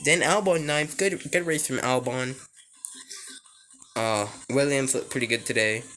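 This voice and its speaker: A man with a hoarse, nearly lost voice, giving an excitable, rambling casual reaction to a race. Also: medium pitch, a little slow, a little hiss or hum. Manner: excitable and rambling, many restarts and "like"s. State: hoarse, voice almost gone. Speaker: racing fan reviewing a race.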